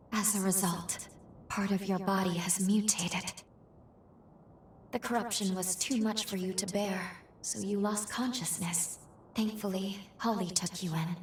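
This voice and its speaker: An actress playing a composed, caring young woman who guides the hero in a video game, speaking in a measured, formal way. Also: mid-range voice, echoey room, close to an everyday voice. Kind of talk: video game cutscene acting.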